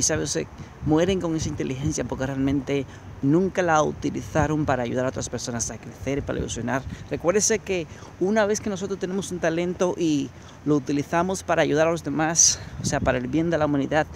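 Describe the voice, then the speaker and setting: Man, thirties, motivational coach, repetitive and direct, gravelly voice, phone mic outdoors, street noise behind. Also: a little high. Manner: preachy, repetitive, addresses viewer directly. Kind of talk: walking vlog monologue. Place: outdoors on a city waterfront promenade at night. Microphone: phone camera held while walking. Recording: noisy outdoor phone recording. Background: street ambience and passing traffic.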